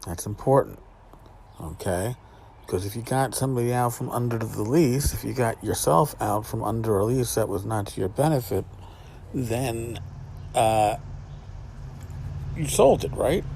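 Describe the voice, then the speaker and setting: A man's voice: mid-range voice, measured pace, gravelly, pauses often, darkish tone, slight background noise. A man hosting a casual solo podcast from a back porch outdoors, talking in a blunt, wry, salty way. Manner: blunt, profane, wry commentary. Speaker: podcast host.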